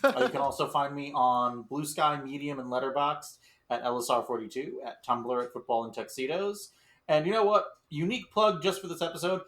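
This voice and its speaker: A man's voice: mid-range voice, steady tone, a little fast, fairly bright tone. A man talking casually as a podcast host. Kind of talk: casual chat.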